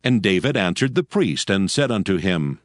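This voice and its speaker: A man's voice: sits low, sing-song, normal speed, no room sound, studio quality. A man giving a solemn, scripted dramatic narration of scripture in a storytelling manner. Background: music.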